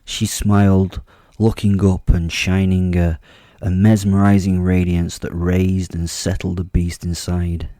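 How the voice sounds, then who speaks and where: A man's voice: low voice, little pitch movement, unhurried, mellow, no echo. A man narrating a first-person horror audio drama as host, brooding and wry with a menacing edge.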